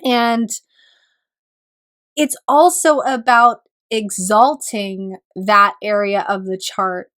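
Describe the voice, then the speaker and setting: Slow-talking woman recovering from a cold. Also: mid-range voice, steady tone, fairly bright tone. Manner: slow, reflective, with hesitations and throat clearing. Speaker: astrologer. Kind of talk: solo video talk.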